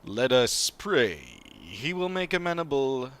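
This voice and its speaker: A man voicing a large, courteous and earnest guardian creature from a video game, speaking in formal, measured phrases. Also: mid-range voice, sing-song, fairly bright tone, pitched above the performer's own voice.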